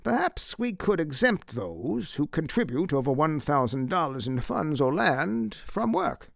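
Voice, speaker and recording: An earnest male leader, voiced by a narrator, chairs with formal courtesy and deliberate phrasing. His voice rises and falls widely with a creaky edge, in a dry audiobook recording. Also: fairly high pitch, sing-song, a bit bright, no echo, pitched above the performer's own voice, full character voice. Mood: deliberating. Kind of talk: audiobook character acting.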